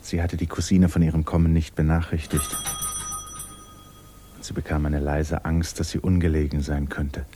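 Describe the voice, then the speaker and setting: A man's voice: rumbling low, level tone, normal speed, background noise. A man narrating a literary radio play as scripted storytelling.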